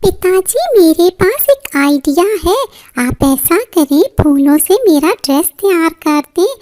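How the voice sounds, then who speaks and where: Very high, childlike little girl bird. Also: lively intonation, unhurried, darkish tone. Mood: eager, pleading. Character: poor young girl bird, proud, pleading schoolgirl daughter. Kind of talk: Hindi cartoon moral story acting.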